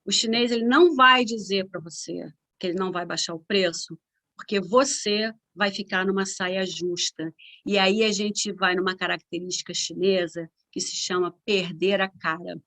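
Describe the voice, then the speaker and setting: Lively Brazilian woman giving a talk. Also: medium pitch, normal speed. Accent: Brazilian Portuguese. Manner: lively, conversational, frequent tag questions. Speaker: guest speaker and cross-cultural consultant. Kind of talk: conference talk.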